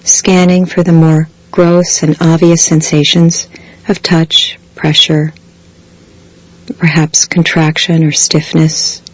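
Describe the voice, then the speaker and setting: A woman guiding a body scan meditation in a slow, measured way, leaving long pauses between phrases. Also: low-pitched, flat delivery, low-quality recording. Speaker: meditation guide. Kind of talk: guided body scan meditation, scripted soothing instruction.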